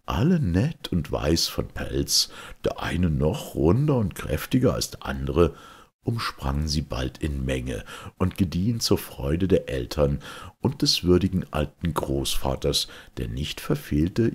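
A man with a raspy, gravelly voice reading a fairy tale as a scripted narration in a deliberate, expressive manner. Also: a bass voice. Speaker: storyteller.

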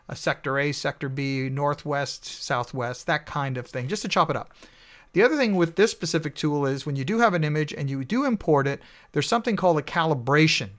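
Man, higher voice, conversational explainer, voice-over, casual fillers. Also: brisk, fairly bright tone. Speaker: network engineer reviewing a tool. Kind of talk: screen-recorded slide walkthrough. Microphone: voice-over over slides.

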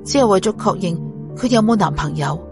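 A woman's voice: mid-range voice, lively intonation, fairly quick, music in the background, lightly acted. A woman voicing a nervous, lovesick male suspect, hesitant and defensive, in an audiobook. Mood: reluctant, dejected. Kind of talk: audiobook character voice.

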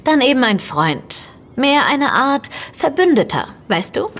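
A woman's voice: high-pitched, big pitch swings, a little slow, a bit bright, slight background noise, full character voice. An actress playing an outspoken, determined woman turned amateur sleuth in a naturalistic audio drama, speaking directly and probingly. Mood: teasing, familiar.

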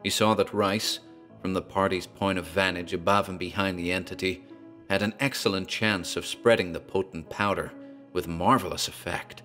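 A man with a very deep, low voice narrates a horror tale in a grave, measured way. Faint music runs beneath his words. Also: fairly even intonation, a bit bright. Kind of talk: dramatic horror story narration.

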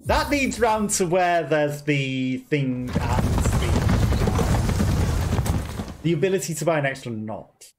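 A man's voice: on the high side, expressive, slow. A man, giving casual, playful gameplay commentary as he muses aloud. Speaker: let's play host. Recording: clearly noisy game stream with clicks.

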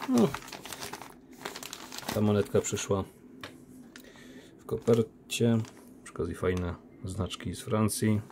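A man unboxing silver coins, talking casually on a phone recording with a relaxed, chatty manner. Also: lowish voice, slow, gravelly, long pauses, background noise. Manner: relaxed, chatty, with many diminutives and fillers. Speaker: coin collector unboxing silver. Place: indoor room by a window. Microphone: phone camera.

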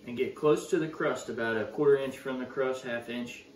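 A young man in a home kitchen casually teaching how to stretch pizza dough, encouraging and steady, with frequent quick approvals. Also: mid-range voice. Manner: encouraging, steady coaching with frequent "yep, perfect". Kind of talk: casual cooking demonstration. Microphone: camera mic from across the counter. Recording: echoey kitchen, voice a bit far off, faint room noise.

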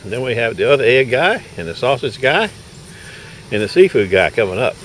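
A man's voice: mid-range voice, very animated, brisk, a bit bright, rough recording. An older man giving folksy, casual running commentary on his knife-sharpening work at an outdoor farmers market, recorded on a handheld camera, laughing often. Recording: faint outdoor noise.

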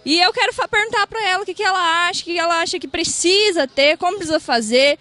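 High, fast, bright-voiced woman reporter. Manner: pointed, rhetorical questioning. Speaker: street reporter. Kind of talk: street interviews. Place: outdoor night street market. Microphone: handheld mic.

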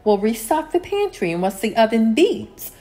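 A woman narrating a home cleaning vlog as a calm, scripted voice-over, steady and homey, with lines that fall at the end. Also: fairly high pitch, normal speed. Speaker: cleaning vlogger.

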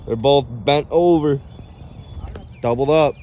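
A man's voice: medium pitch, slow, mellow, dull audio, a little hiss or hum. A man fishing with friends on a riverbank, talking casually about the catch. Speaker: fishing buddy. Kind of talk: casual outdoor banter. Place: riverbank outdoors.

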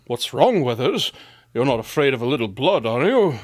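A man's voice: mid-range voice, big pitch swings, moderate pace, no echo. An actor playing a composed, secretive male detective in a radio drama, with a dry, clipped way of speaking. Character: Spencer Holmes, cool secretive adult male detective.

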